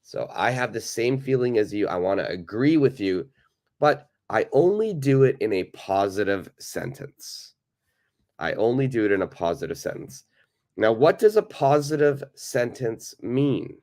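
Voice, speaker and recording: A man with a cold and a raspy voice, teaching a live online English lesson, greeting viewers and repeating points slowly. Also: medium pitch, very animated, darkish tone.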